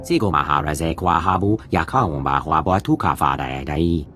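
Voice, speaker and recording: A man voicing a gruff alien who speaks a guttural invented tongue in a video game. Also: extremely low pitch, moderate pace. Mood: stern. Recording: music behind the voice.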